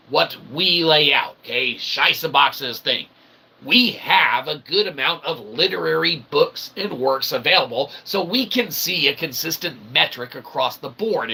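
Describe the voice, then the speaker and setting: Gravelly, forceful man on a rant. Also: fairly high pitch, poor audio. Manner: emphatic, ranting, peppered with "okay?" and "right?"; casually profane. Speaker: independent author and channel host. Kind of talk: opinionated rambling monologue.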